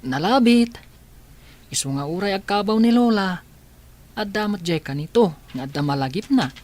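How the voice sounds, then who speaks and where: A woman playing a gentle, caring young boy, an older brother, in a radio drama with an Ilocano accent. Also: medium pitch, expressive, normal speed, lightly acted.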